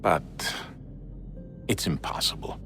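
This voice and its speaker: A man playing a world-weary, resolute member of a secret order in a video game, speaking in a grave, measured, reflective way. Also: music in the background, lightly acted. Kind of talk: naturalistic cinematic video game acting.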